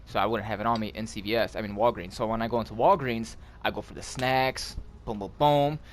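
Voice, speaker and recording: A man's voice: on the low side, fairly even intonation, brisk, a little hiss or hum. A man with an American accent casually telling a story to friends, slangy and profane, acting out the dialogue as he goes. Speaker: gaming channel host telling a story.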